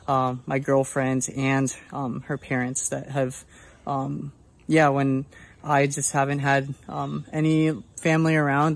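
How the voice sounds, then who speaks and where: A young man from Colombia sharing a casual video testimony, soft-spoken and hesitant with many fillers. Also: medium pitch. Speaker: church member giving video testimony.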